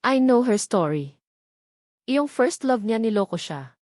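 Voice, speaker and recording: A woman's voice: a little high, big pitch swings, measured pace, darkish tone, no room sound. Filipino woman narrating in a dry, close recording. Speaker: audiobook narrator. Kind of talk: scripted first-person narration of a romance novel.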